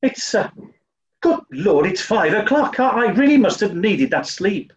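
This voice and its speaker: A man's voice: high voice, big pitch swings, a little slow. Man playing a flustered, pompous upper-class English toff, muffled. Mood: brisk. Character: dim-witted, snobbish English gentleman, bumbling amateur sleuth. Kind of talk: 1940s radio play acting.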